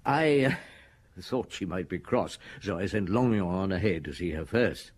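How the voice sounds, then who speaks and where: An actor playing a seasoned, shrewd police chief inspector in a radio drama, with a measured, dryly wry manner. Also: male voice, sits low, expressive, breathy edge, exaggerated voice. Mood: wry narrating. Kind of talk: radio drama acting and first-person narration.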